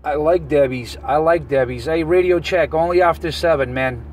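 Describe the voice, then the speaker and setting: A man's voice: a little high, normal speed. A man making casual chat as an operator on a CB radio. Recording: distant CB radio with heavy noise.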